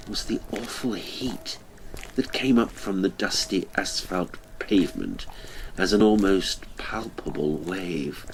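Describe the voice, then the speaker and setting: A man narrating a classic horror story in a measured, deliberate, dramatic way with weighty pauses. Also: low-pitched, rough recording. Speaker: audiobook narrator and podcast host. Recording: music behind the voice. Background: music.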